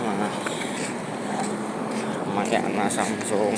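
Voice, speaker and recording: Rambling man over loud traffic. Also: lowish voice, flat delivery, extremely slow, vocal fry. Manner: rambling, repeats and restarts words. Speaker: vlogger touring a city. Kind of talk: casual street tour commentary. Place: outdoors on a busy city road. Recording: loud road noise outdoors. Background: loud traffic noise.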